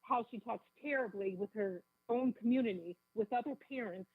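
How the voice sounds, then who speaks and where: A woman calling in to a radio show, raspy from a bad cold, speaking emphatically and indignantly. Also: fairly high pitch, normal speed, dry recording. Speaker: phone-in guest. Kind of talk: live radio call-in advocacy. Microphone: telephone. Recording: tinny, narrow phone line.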